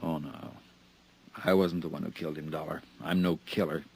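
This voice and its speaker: Jumpy hunted embezzler, real deep voice, stumbles over words. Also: male voice, level tone, vocal fry, darkish tone, breathy edge, full character voice. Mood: weary. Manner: edgy, haunted and halting. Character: nervous hunted male embezzler on the run. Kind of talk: 1950s radio drama acting.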